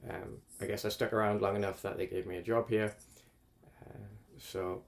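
A man's voice: on the low side, level tone, normal speed, creaky. A man, talking casually as an interview guest in a rambling, self-correcting way. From northeast England. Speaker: climate researcher guest.

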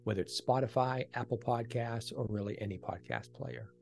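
A man talks as a guest in a conversational podcast interview. His voice has a noticeable creak and sits at an average pitch, with clear music playing alongside him. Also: steady tone, moderate pace, darkish tone. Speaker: podcast guest, ASMR creator and author.

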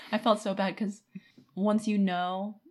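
A woman's voice: mid-range voice, flat delivery, takes it slowly, a little mellow. A woman co-hosting a podcast, recapping a book in casual chat and slipping into paraphrased quotes from its characters. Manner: acts out characters' lines in paraphrase. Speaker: podcast co-host.

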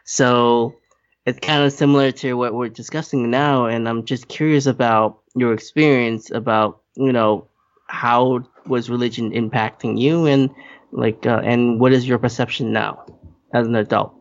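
A man chats loosely as a podcast guest, joking and filling pauses with "like" and "um". His remote audio sounds a bit dull. His pitch sits in the middle. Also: fairly even intonation. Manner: loose, with many fillers, joking. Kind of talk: casual conversation.

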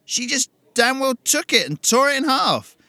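A man doing a brash, high-strung young guy. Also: sing-song, dry recording, full character voice. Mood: indignant. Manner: fast, loud and high-strung. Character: brash excitable young man, cocky then panicked.

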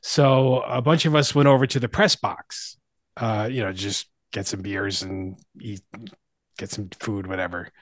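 A man's voice: fairly low pitch, fairly even intonation, unhurried, no echo. A man hosting a sports podcast in a casual, conversational way. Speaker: podcast host.

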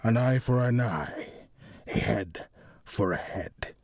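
A man voicing a cold, scornful, vengeful mob enforcer in a crime story, with a deep, hoarse voice. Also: slow, a little mellow, dry recording, clean studio sound. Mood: vengeful, quiet.

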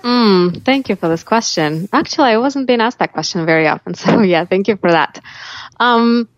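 A woman's voice: mid-range voice, lively intonation, moderate pace, dry recording, dull audio. A woman with a Russian accent telling reflective personal stories as an interview guest, often voicing her own thoughts aloud. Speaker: life coach, podcast guest.

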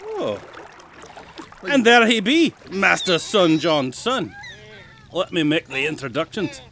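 High-voiced hearty old sea captain. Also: male voice, sing-song, slow pace, pushed and bright, a little hiss or hum, heavily acted. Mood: jovial. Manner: hearty, salty nautical exclamations. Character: gruff, jolly old sea captain with pirate talk. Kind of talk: comedy audio drama acting.

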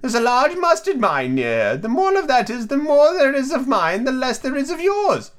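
A woman's voice: high voice, sing-song, voice pushed up. A woman voicing a pompous, over-agreeable storybook Duchess who draws out her morals emphatically. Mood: agreeable, self-satisfied. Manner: drawn-out, emphatic pronouncing of morals.

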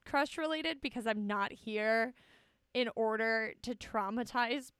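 A woman with a bright, clear voice recorded close and dry. She hosts a podcast with casual, slangy banter, animated and teasingly sarcastic. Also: fairly high pitch, a little slow, no room sound. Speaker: podcast host.

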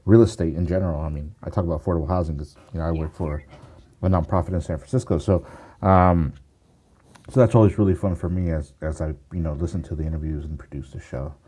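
A man's voice: extremely low pitch, quick pace, warm tone, slightly rough. A man who produces a podcast, talking casually with many restarts and fillers.

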